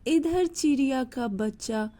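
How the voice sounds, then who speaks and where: A woman tells a children's moral story as its narrator. Her voice is high and mellow, and she goes through the tale at a very slow pace. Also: lively intonation.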